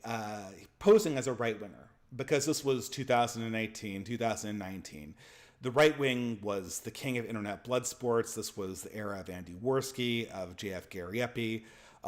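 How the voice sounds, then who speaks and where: A man with a nasal voice and a speech impediment, hosting a casual commentary monologue full of restarts and repeated words. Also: mid-range voice. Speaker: channel host.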